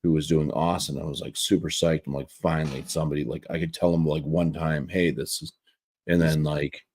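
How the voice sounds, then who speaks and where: A man in casual conversation, halting and often repeating himself. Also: extremely low pitch, steady tone, a little mellow, slightly rough. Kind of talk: casual chat. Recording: faint music behind the voice.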